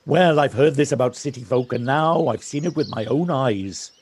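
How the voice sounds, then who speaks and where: A man playing a kindly, teasing village local in an audio drama, with a husky voice and an encouraging manner. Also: mid-range voice, moderate pace, darkish tone, lightly acted. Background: birdsong.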